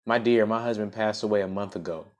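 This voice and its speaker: A man reading aloud, voicing a kindly older woman who answers gently and warmly. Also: on the low side, steady tone, fast, lightly acted. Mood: gentle.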